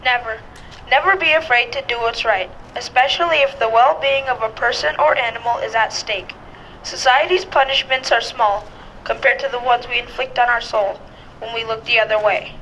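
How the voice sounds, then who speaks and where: A woman's voice: on the high side, normal speed, fairly bright tone. A woman reading a quotation aloud. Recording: dull sound, faint background noise.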